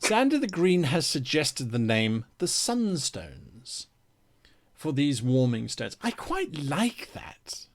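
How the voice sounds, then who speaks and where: A man's voice: on the high side, huge pitch swings, slow. A man hosting a live worldbuilding stream, chatting casually and reading out viewer comments in an enthusiastic, playful way.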